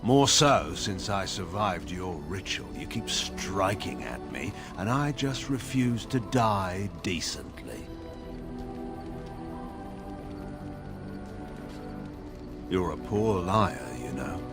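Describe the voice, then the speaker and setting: A man playing a proud, weary, sardonic veteran warrior nobleman in a fantasy video game, speaking in a wry, grave and measured way with formal phrasing. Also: low voice, very animated, exaggerated voice. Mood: wry, resigned. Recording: studio voice with game music behind. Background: music.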